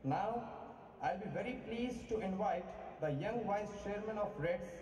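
A young man introduces the next speaker at an outdoor college event in a polite, formal tone. His voice is high and mellow. It comes through an echoing PA from a distance. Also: lively intonation, measured pace, slight background noise. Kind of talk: formal stage announcement. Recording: echoey outdoor PA heard from far off.

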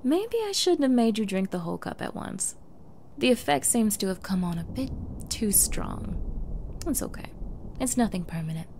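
A woman's voice: mid-range voice, big pitch swings, moderate pace, darkish tone, a little hiss or hum. A woman playing a sweetly menacing, possessive young girlfriend in an intimate ASMR roleplay, coy and cooing, often dropping into whispers. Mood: soothing, sinister. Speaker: roleplay audio performer.

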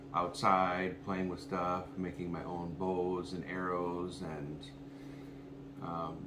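Deep-voiced Minnesota man, halting. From Minnesota, USA. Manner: halting, lots of ums and restarts. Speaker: co-host, massage therapist. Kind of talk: casual personal story to camera. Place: home kitchen, indoors, small room. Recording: echoey room with background noise.

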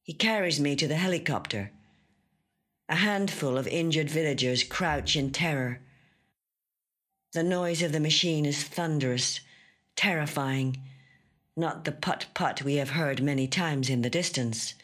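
A woman narrates a literary story. She reads slowly and deliberately, giving weight to each phrase in a dramatic, measured way. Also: low voice, a bit bright. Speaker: actor reading a short story. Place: recording studio.

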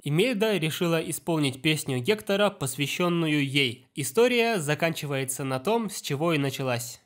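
A man's voice: on the high side, lively intonation, moderate pace. A man narrating a film review, enthusiastic and analytical, on a voice-over mic. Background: film music.

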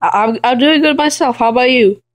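A young man with a high, boyish voice making a giggly prank phone call. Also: fast talker. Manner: giggly, mock-casual chatter. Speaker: prank caller.

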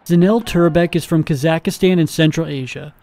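A man reading a scripted news voice-over as a student reporter, steady and measured. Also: on the high side.

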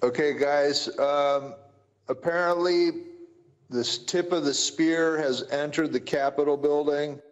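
A man giving a measured, halting, unscripted update over a walkie-talkie app. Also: on the high side, fairly even intonation, smooth. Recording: dull, echoing walkie-talkie app audio.

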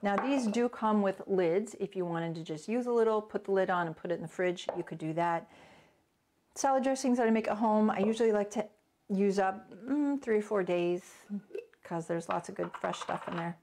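A woman's voice: mid-range voice, moderate pace, a little mellow. A middle-aged woman giving a casual cooking class in her home kitchen, chatting and thinking aloud as she works, recorded by a camera a few steps away. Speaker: cooking instructor.

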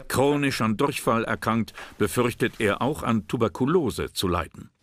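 A man narrating a history documentary drama in a measured, serious way. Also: medium pitch, animated. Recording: narration over loud music.

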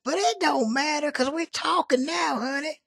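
A man's voice: very high-pitched, normal speed, gravelly, a bit bright, heavily acted. A man doing a salty old Southern country woman. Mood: brash flirty. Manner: folksy, salty, drawling, calls people honey. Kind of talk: prank call.

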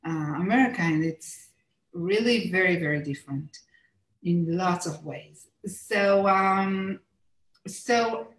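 A woman's voice: lowish voice, slow pace. A middle-aged woman from Israel with an Israeli accent, a native Hebrew speaker, answering interview questions thoughtfully with many fillers and restarts over a video call from a room at home. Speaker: author and illustrator, interview guest. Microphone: video call, computer mic. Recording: roomy video call audio.

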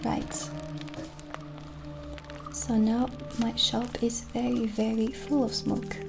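A woman in her thirties doing a gentle, soft-spoken ASMR roleplay as a witch shopkeeper, unhurried and often slipping close to a whisper, in a small room. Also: fairly high pitch, level tone, gravelly. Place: small dim indoor room set as a candlelit shop. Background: original music.

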